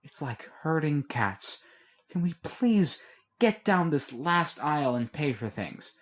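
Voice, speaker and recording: A man's voice: on the high side, big pitch swings, slow, pitched above the performer's own voice. A man voicing a harried mother of rowdy kids, scolding in an exasperated way.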